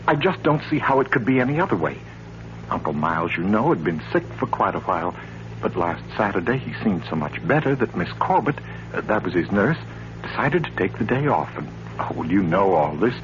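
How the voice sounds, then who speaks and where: Troubled desperate man in an old 40s radio thriller, halting, voice swings around, muffled broadcast. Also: fairly low pitch, sing-song, slight background noise. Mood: troubled, confiding. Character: troubled man desperate to uncover his uncle's death. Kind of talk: 1940s radio thriller acting.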